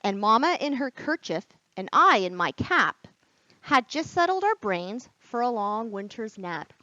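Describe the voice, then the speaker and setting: A woman reading aloud at a children's storytime as a librarian, expressive and encouraging, speaking straight to young listeners. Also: on the high side, unhurried, no echo. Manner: expressive, gasps and whispers for drama. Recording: dull, lo-fi sound.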